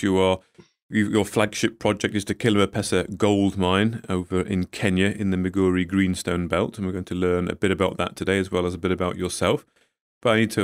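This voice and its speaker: A man hosting an investor podcast, welcoming his guest and co-host in friendly, slightly halting patter. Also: deep voice, dry recording, studio quality.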